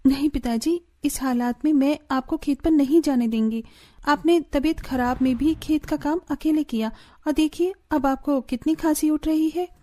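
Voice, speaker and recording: A woman's voice: sits high, normal speed, mellow, close to an everyday voice. A woman voicing an earnest, dutiful young daughter-in-law, caring but firm, in a cartoon story. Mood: caring, resolute. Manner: earnest, pleading. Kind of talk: Hindi cartoon story voice acting.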